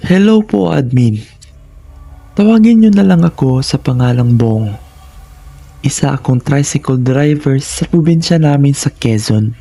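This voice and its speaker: A man's voice: mid-range voice, animated, measured pace, vocal fry, mellow, faint music behind. A man narrating scripted first-person horror stories in a dramatic, suspenseful manner, in short phrases.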